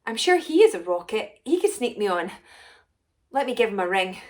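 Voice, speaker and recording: A woman voicing a short, rich, excitable female alien potion maker in a children's story, self-important and exclamatory. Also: medium pitch, normal speed, natural voice. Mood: scheming. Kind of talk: first-person children's story narration.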